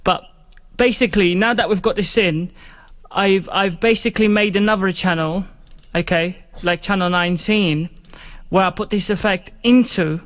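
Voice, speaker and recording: High-pitched man giving a casual tutorial. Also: steady tone, normal speed, a bit bright. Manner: informal, rambling, chatty. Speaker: music production tutorial host. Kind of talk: casual step-by-step software tutorial.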